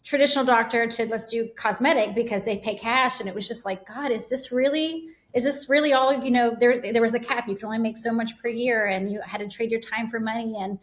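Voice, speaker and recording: A woman, a podcast guest telling her story in a casual, animated way. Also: a little high, brisk, few pauses. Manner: animated storytelling with quoted asides. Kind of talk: casual interview chat.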